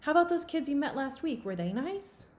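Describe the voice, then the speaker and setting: A woman's voice: high-pitched, big pitch swings, a little fast, pitched above the performer's own voice. A woman voicing a caring, inquisitive mother with affectionate, lilting questions in a storybook. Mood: probing.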